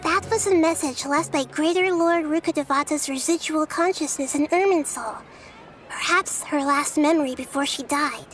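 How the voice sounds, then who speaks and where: A high, girlish game character voice, acted by a woman: a young goddess who is calm and wise. She explains things in a measured, earnest manner, with music playing behind her. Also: natural voice. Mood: composed, gracious. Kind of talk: scripted video game voice acting.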